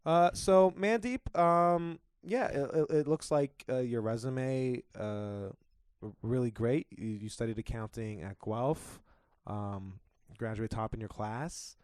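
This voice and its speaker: A man playing a hesitant male job interviewer who speaks slowly with many pauses and ums. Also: mid-range voice, a little mellow, clean tone, dry recording, studio quality, lightly acted. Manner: slow, halting, full of ums.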